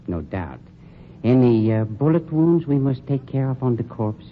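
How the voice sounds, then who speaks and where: A man's voice: mid-range voice, expressive, a little slow, lightly acted. An actor playing a polished, scheming funeral director who talks down to his night man, in 1940s radio drama style. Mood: smooth. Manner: smooth, condescending, sneering. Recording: dull, noisy old radio recording.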